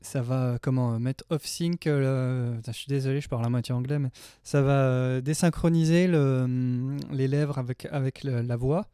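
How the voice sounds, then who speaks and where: Chatty guy in his 30s doing a music software tutorial, soft mellow tone, pretty dry sound. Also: mid-range voice, fairly even intonation, normal speed, smooth, no echo. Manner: informal, chatty, slangy. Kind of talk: casual tutorial talk. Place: small home studio indoors.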